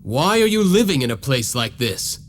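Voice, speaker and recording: A man's voice: medium pitch, sing-song, normal speed, a little hiss or hum, close to an everyday voice. An actor playing an earnest, determined nobleman turned vampire hunter in a video game, speaking directly. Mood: earnest, resolute. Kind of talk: video game cutscene voice acting.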